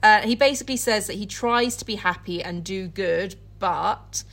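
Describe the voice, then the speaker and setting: A woman's voice: medium pitch, normal speed, fairly bright tone. A woman podcast co-host mixing casual, comic chat with dramatic book readings, giggly, irreverent and free with swearing.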